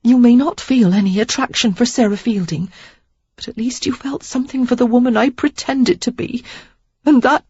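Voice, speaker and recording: A woman's voice: on the high side, moderate pace, mellow, breathy edge, no room sound, dull audio, pitched above the performer's own voice. A woman voicing an anxious young woman who pleads and apologizes in a breathless, babbling way. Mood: defiant.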